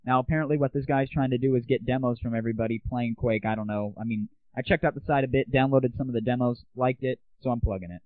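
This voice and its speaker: A man's voice: mid-range voice, fairly even intonation, fast talker, hardly any pauses, dry recording. A brash, irreverent male host runs a crude, casual monologue. The sound is dry and tinny, with a narrow, phone-like quality.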